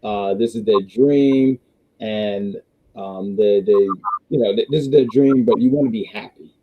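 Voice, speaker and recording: Hoarse man hosting a wrestling review. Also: lowish voice, unhurried, phone-quality sound. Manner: rambling, restarts, reads viewer chats. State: losing his voice. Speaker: wrestling review show host. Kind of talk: casual live-stream review chat.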